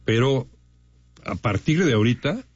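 A man's voice: mid-range voice, expressive, unhurried, husky, no room sound, dull audio. A man with a Mexican Spanish accent, a radio co-host arguing cautiously and asking for agreement. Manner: cautious, reasoning, asks for agreement. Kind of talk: sports discussion.

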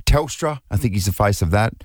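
A man's voice: fairly low pitch, animated, brisk, no room sound, clean studio sound. An Australian man from New South Wales co-hosting a radio show, chatting casually with frequent fillers and restarts. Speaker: radio co-host.

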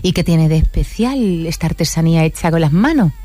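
A woman's voice: sits low, animated, brisk, mellow, no echo. A woman hosts a casual radio chat. She talks in a chatty way and laughs often. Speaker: radio host.

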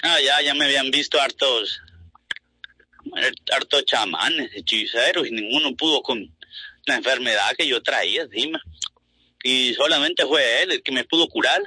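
A man from Honduras gives a spoken testimonial. His voice is intensely bright and husky, in a dry sound, and he talks plainly, haltingly and with repetition. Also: mid-range voice, no echo, poor audio. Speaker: client giving a testimonial.

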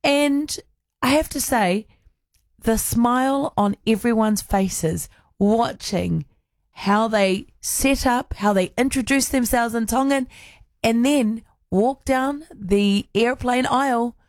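A woman's voice: on the high side, slow pace, no echo, clean studio sound. Enthusiastic New woman radio host. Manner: enthusiastic, gushing shout-outs. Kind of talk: casual radio chat.

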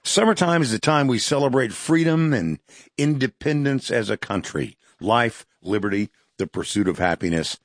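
A man reading a scripted radio ad in an earnest, measured way. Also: mid-range voice, expressive, faint music behind. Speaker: ad announcer. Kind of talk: scripted ad read.

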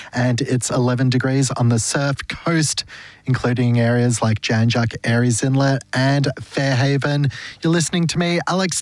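A man's voice: mid-range voice, dry recording, rough recording. A man hosting morning radio, reading weather and chatting in a friendly way with a guest. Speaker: radio host.

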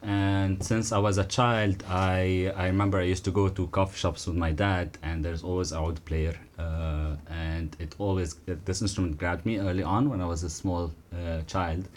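A man, an oud player telling his story in a studio interview, earnest and halting. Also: sits low, fairly even intonation, a little hiss or hum. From Lebanon. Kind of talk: casual interview answers. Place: radio studio.

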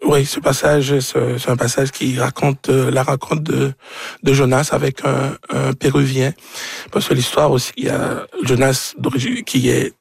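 A man from Haiti with a Haitian accent and Creole as his first language, a novelist speaking haltingly as he searches for words. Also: mid-range voice, flat delivery, gravelly, husky, dry recording, studio quality. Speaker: novelist being interviewed.

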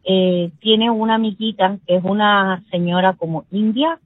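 A woman's voice: mid-range voice, moderate pace, dry recording. A woman in her sixties from Peru calling a radio show and earnestly telling a family story. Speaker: caller. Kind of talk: telling a personal story on a call-in. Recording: dull phone line.

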